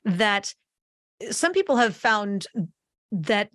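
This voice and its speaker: A woman in her forties hosting a podcast interview, chatty and lively, speaking into a boom mic while wearing headphones. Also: slow, no echo, clean studio sound. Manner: energetic, talks with her hands, restarts phrases. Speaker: podcast host. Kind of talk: conversational interview. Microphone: boom mic on arm, wearing headphones.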